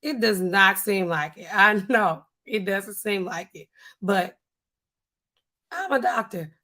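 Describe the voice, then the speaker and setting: Hoarse woman pharmacist on a livestream. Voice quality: husky, weak voice. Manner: animated, drops low and slow to sound stern. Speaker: pharmacist streamer. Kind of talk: casual livestream chat reading comments. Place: home studio with neon sign.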